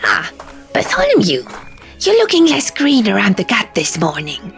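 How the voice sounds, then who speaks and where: A fussy male gargoyle put on by a female narrator in a fantasy audiobook. The voice is raised and swoops about with a creaky edge, speaking in stilted, quaint formality. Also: medium pitch, wildly swooping pitch, moderate pace, pitched above the performer's own voice. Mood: officious. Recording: music under the reading.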